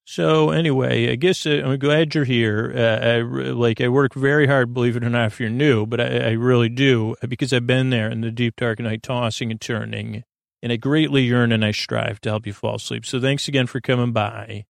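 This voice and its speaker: Creaky-voiced man rambling through a sleep podcast. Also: mid-range voice, steady tone, no room sound, clean studio sound. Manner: meandering, halting, goofy, self-deprecating. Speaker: podcast host.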